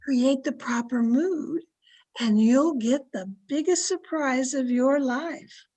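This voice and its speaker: A woman playing a sly, flattering old aunt in an old-time radio comedy, coy and sweetly confiding. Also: high voice, slow. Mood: encouraging. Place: home, online video-call production.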